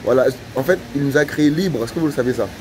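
Guy doing street preaching interview, talks fast, repeats himself, noisy city outside. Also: mid-range voice. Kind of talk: conversational street evangelism interview. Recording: outdoor street noise.

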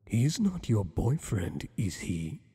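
A man's voice: on the low side, big pitch swings, warm tone, husky, long reverb tail, exaggerated voice. A man voicing a teasing, possessive monster boss. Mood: flirtatious. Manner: teasing, flirtatious, slow and intimate. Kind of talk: ASMR roleplay.

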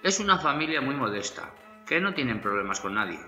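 A man's voice: medium pitch, big pitch swings, brisk, bright, forceful tone, reverberant, soft background music. A man playing a dutiful, matter-of-fact police officer in a dramatised crime audiobook.

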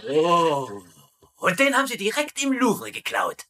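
Babbling, fawning sitcom commandant: highish, very animated, bright voice, comic and foolish. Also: male voice, a little slow, full character voice. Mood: naive, delighted. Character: foolish, fawning, chatty German camp commandant. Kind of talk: dubbed military sitcom acting.

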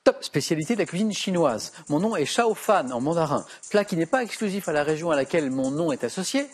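A middle-aged man hosting a TV quiz show, reading clues briskly and giving quick verdicts. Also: a little high, expressive. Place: large TV studio set.

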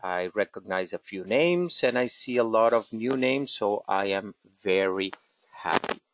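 A man's voice: lowish voice, animated, measured pace. A man with a Venezuelan Spanish accent, a genealogy expert hosting a live webinar and walking through a website, speaking carefully with many fillers. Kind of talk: live webinar with screen-share walkthrough. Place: office in Israel. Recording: dull, slightly static home mic.

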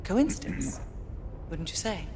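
A woman playing a composed, wry British intelligence agent in a video game, speaking in a measured, guarded, dry way. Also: on the low side, big pitch swings, background noise, exaggerated voice. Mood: probing, suspicious. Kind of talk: video game cutscene acting.

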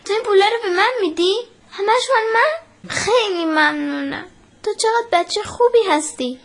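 Actress voicing a poor little girl, tearful, very high pitch, shaky. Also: creaky, fairly bright tone, exaggerated voice. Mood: grateful.

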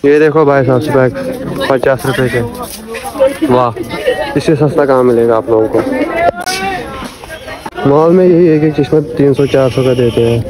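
A man's voice: mid-range voice, moderate pace, pauses often, rough recording. A young man vlogging as he walks through a crowded outdoor street market, chatting to viewers about prices, recorded on a handheld camera at arm's length. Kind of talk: walking market tour vlog. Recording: loud crowd noise around. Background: loud market crowd.

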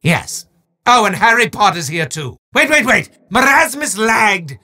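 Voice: medium pitch, sing-song, unhurried. A voice actor playing a petty, hammy villainous sorcerer who wagers for souls, announcing game events in a melodramatic, bombastic way. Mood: sore loser. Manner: melodramatic, bombastic shouting with sweeping swoops.

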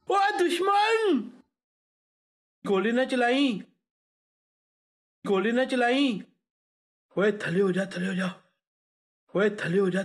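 Man playing an excitable Punjabi soldier, slow-paced. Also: sits high, husky, exaggerated voice. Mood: alarmed, shouting. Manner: exclamatory, starts calls with "oye". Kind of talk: comic game voice-pack callouts, short shouted commands.

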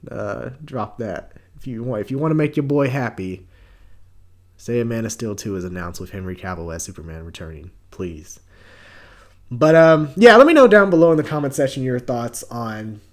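Young american guy, medium pitch, chatting casually to camera about entertainment news, kinda slangy and hesitant. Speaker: YouTube host reviewing entertainment news.

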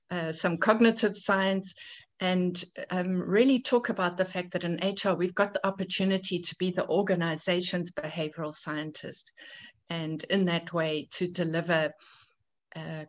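Woman, book contributor, slightly low voice, earnest, measured, video call. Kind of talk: presenting her chapter.

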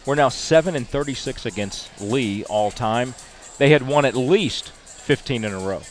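A man's voice: mid-range voice, animated, normal speed, soft background music. An older man hosting a postgame interview on a headset mic, chatty and full of stats. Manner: chatty, stat-heavy, upbeat delivery. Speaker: sports broadcaster.

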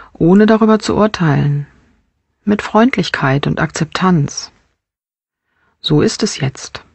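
A woman leads a guided meditation in the manner of a mindfulness teacher. Her voice sits at a medium pitch and her phrasing is gentle and measured. The recording is dry and close to the microphone. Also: slightly rough, no room sound. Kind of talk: guided body scan meditation narration.

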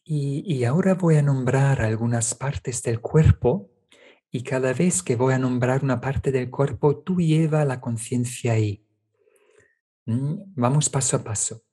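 A man teaches a guided meditation at a very unhurried pace. His voice is soft-edged and mellow, sitting at an ordinary male pitch. He gives calm, gentle instructions step by step. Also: husky.

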